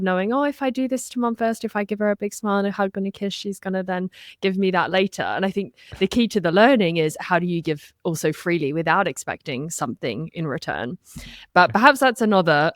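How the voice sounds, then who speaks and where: A woman's voice: on the low side, dry recording, clean studio sound. A middle-aged woman hosting a podcast interview, chatting expressively and laughing often. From Australia and Britain. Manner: animated, laughs often, reads aloud expressively. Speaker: podcast host. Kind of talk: conversational interview.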